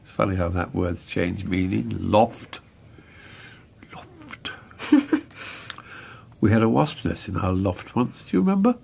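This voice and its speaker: An actor playing a reflective older English barrister, measured, clipped and wistful, in a radio drama. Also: male voice, deep voice, lively intonation, vocal fry, a little mellow, slightly rough, full character voice. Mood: weary, wistful. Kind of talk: radio drama acting, introspective monologue and dialogue.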